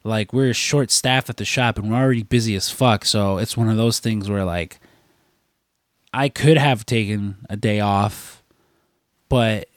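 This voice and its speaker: A man's voice: fairly low pitch, unhurried, no echo, clean studio sound. A young man chatting casually as a podcast co-host. He is congested from a cold and rambles with lots of fillers and swearing. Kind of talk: casual chat.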